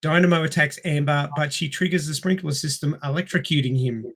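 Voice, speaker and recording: A man's voice: fairly high pitch, fairly quick, a bit bright. A man hosting a casual movie discussion and recapping plots, his throat sore from coughing. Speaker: podcast host.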